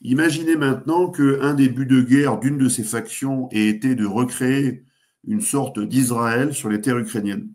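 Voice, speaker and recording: A man speaking as a guest commentator, giving geopolitical analysis in a talk show, pausing often with fillers and restarts. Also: medium pitch, a little mellow. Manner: hesitant, many fillers and restarts.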